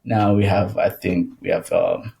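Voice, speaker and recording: A young man from Ethiopia speaks as a podcast guest. His voice sits a bit low with a gravelly fry. He talks in a relaxed, rambling way, often restarting his sentences. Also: a little slow. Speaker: podcast guest, worship singer. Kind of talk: casual conversational interview.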